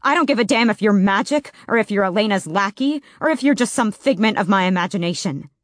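A woman voicing a fierce, bitter young woman fighter in an audiobook, speaking sharp and raised. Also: medium pitch, animated, fast, no echo, studio quality, natural voice. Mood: threatening.

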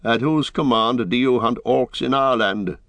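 A man's voice: medium pitch, a little slow, a bit bright, no room sound, voice pushed up. A stern, haughty horse-lord acted out by a male reader from a fantasy audiobook. He speaks in formal, archaic phrases with a challenging, suspicious edge. The sound is dry and close. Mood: proud, guarded. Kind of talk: dramatic audiobook fantasy reading.

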